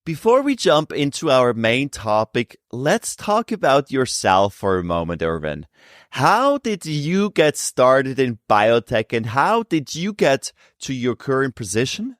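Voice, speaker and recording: A man hosting an interview podcast, asking deliberate questions. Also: mid-range voice, big pitch swings, no room sound, clean studio sound. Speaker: podcast host.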